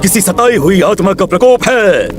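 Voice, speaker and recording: A man's voice: high-pitched, lively intonation, moderate pace. A man playing a grave village occultist in a cartoon, solemn and emphatic as he gives warnings. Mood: ominous, solemn. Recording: music under the voice.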